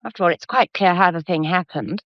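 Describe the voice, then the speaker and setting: A woman's voice: fairly low pitch, a little fast, no room sound, muffled recording, lightly acted. An actress playing an anxious, pleading young woman of a troubled family in a period drama. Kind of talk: period radio drama acting.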